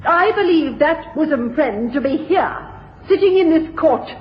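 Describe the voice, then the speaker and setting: A woman's voice: sits high, expressive, a little slow. An actress playing a proud, impassioned upper-class Victorian woman in a radio drama, formal and emphatic. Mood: firm, defiant. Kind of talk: courtroom radio drama acting. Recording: dull radio recording, long hall echo.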